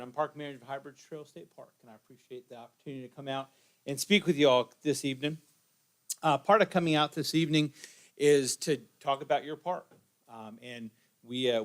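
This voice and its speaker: Park manager guy talking to the county board, mid pitch, dry, lots of uh and um. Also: dry recording. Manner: informal, with many ums and uhs. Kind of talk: public presentation at a county board meeting. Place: county board meeting room.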